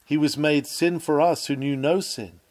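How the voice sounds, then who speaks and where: A man reading a written sermon aloud with earnest, emphatic, measured oratory. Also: a little high, fairly even intonation, darkish tone. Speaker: sermon reader.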